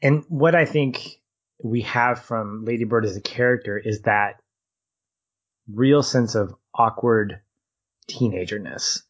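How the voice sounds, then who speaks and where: A man from Arkansas who dropped his Southern accent talks in a casual film discussion on a podcast. He is animated, laughs easily and often restarts his sentences. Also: lowish voice, takes it slowly, highs cut off.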